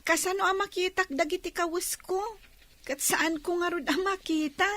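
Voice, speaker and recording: A woman's voice: extremely high pitch, normal speed, bright, forceful tone. A woman playing a humble, grateful young blind housemaid in an audio drama. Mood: earnest, humble.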